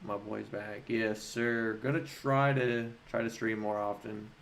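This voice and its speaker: A man hosting a casual livestream, talking informally and haltingly as he thinks aloud and answers chat. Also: fairly low pitch, steady tone, slight background noise.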